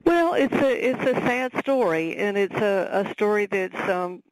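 A woman's voice: medium pitch, expressive, unhurried, no room sound, low-quality recording. A woman with a Southern accent, an author speaking as a radio interview guest, thoughtful and full of fillers and restarts.

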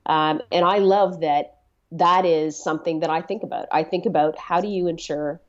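A woman's voice: low-pitched, fairly even intonation, normal speed, dull audio. A middle-aged woman from Canada whose first language was Swahili. She talks earnestly as an interview guest, with many fillers and repeated words. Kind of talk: reflective conversational interview.

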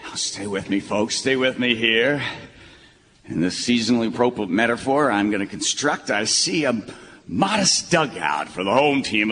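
Grandiose old broadcaster dad, echoey hall. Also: male voice, mid-range voice, bright, forceful tone. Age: older. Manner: grandiose, halting, folksy oratory. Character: rambling, sentimental old retired baseball broadcaster dad. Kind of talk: live radio play acting. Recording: echoey live hall, faint noise.

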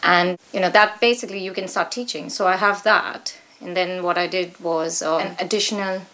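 A woman's voice: lowish voice, steady tone, moderate pace, pushed and bright, low-quality recording. A middle-aged woman with an Indian accent, talking conversationally as an interview guest. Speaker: guest, yoga teacher.

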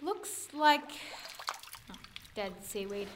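A woman's voice: mid-range voice, animated, takes it slowly, noisy background. An actress playing a curious, earnest and quirky young woman investigator in an audio drama, speaking matter-of-factly about odd things. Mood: fascinated. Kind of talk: naturalistic audio drama dialogue.

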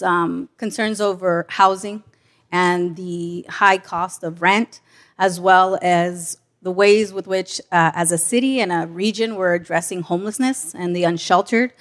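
A woman from Southern California speaking as a politician on a panel, explaining things in a measured way. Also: lowish voice. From National City, California, United States. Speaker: city mayor, panel guest.

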